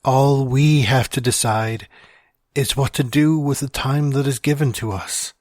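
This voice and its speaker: A man playing a dying man with gallows humor, reading out his farewell letter in a wry, deliberate, reflective way. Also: medium pitch, steady tone, a little mellow, no room sound, studio quality, natural voice. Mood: reflective, earnest.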